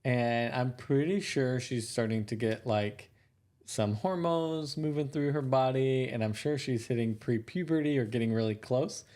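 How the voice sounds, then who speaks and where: A man's voice: medium pitch, normal speed, a little mellow. A man co-hosting a parenting podcast, chatting casually and telling a teasing, easygoing family story. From American South. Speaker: podcast co-host. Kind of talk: casual chat.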